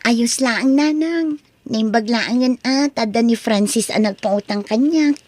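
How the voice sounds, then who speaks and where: A woman playing a shy, soft-hearted young woman in an Ilocano audio drama, hesitant and meek, with an Ilocano accent. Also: a little high, a little slow. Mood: meek, grateful.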